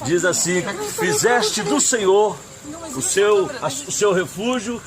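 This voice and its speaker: A man giving a devout, deliberate religious address in public. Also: high-pitched, animated. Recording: outdoor recording in loud crowd. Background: loud crowd.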